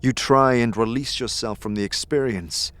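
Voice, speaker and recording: A man with a husky, gravelly voice reading scripted second-person fantasy narration as narrator and game master. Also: medium pitch, moderate pace, a bit bright, no echo.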